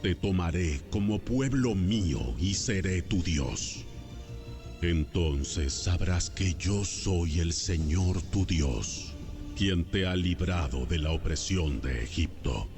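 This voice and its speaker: A man voices an almighty God in a Bible audio drama. The voice is low, slow and solemn, declaring with authority over clearly audible music. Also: lively intonation, exaggerated voice. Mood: solemn, commanding.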